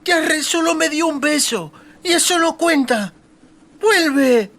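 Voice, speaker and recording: A woman's voice: sits high, animated, slow pace, fairly bright tone, heavily acted. An actress playing an exhausted, frightened but stubborn and tender teenage girl in a dramatized audiobook. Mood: sobbing, indignant. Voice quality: hoarse, breathy whisper at times.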